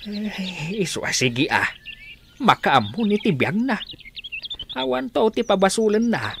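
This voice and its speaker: A man's voice: high voice, big pitch swings, unhurried, creaky, a bit bright, a little hiss or hum, heavily acted. An actor playing an easygoing young man with an Ilocano accent in a radio drama. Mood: placating.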